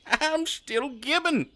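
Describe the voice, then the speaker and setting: A man's voice: very high-pitched, very slow, sharp tone, heavily acted. A man voicing a friendly, polite little frog-like creature with a folksy Southern US accent in an audio drama. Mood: chatty, amused.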